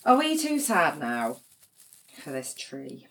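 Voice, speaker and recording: An actress playing a blunt, emotional grieving sister with dark humour in a naturalistic audio drama. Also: female voice, sits low, wildly swooping pitch, slow pace, creaky, full character voice, rough recording. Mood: wry. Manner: wry, sweary, dramatic. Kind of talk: naturalistic audio drama acting, comedy and pathos.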